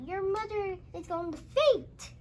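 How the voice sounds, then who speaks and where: A young child with a high voice tells a storybook tale at a slow, halting pace. Phrases get repeated and restarted, and the dialogue is called out. There is faint noise behind the voice. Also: lively intonation. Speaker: young host reading a storybook aloud.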